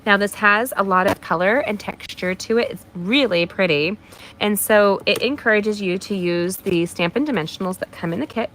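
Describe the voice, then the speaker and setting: A woman's voice: mid-range voice, moderate pace, rough recording. Woman doing a live craft stream, bit nasal, chatty and encouraging, faint hiss behind her. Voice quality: a little nasal. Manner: warm encouraging, talks to viewers by name. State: mild allergies. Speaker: craft demonstrator hosting a livestream.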